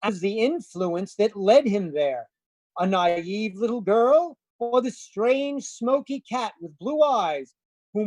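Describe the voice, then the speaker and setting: A mid-pitched, very dry voice of a woman as a portentous radio drama narrator. The delivery is scripted and dramatic, in old-time serial style. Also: a little mellow, no room sound, rough recording.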